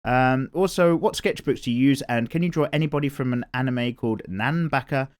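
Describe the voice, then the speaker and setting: A man's voice: medium pitch, brisk, clear, resonant tone. A man with an English accent and a nasal voice, casually rambling and reading viewer comments aloud while he sketches. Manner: chatty and rambling, swears casually. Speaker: art channel host.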